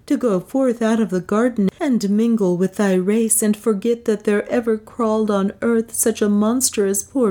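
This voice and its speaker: A woman's voice: fairly low pitch, dark tone. A woman reading a story, voicing an anguished, tender young woman who pleads in impassioned, archaic speech. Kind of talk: dramatic audiobook storytelling.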